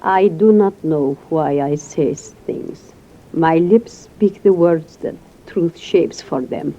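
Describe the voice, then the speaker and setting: An actress playing a weary, aloof, mysterious psychic with a formal, grave manner in 1940s-style radio drama. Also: female voice, deep voice, slow, warm tone, full character voice. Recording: muffled vintage radio recording.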